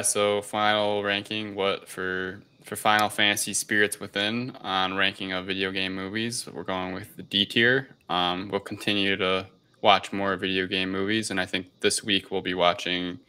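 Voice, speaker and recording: A man with a slightly low, bright and forceful voice co-hosts a podcast. He talks in a casual, conversational way, as in a group chat. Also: little pitch movement, moderate pace, clean tone. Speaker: podcast co-host.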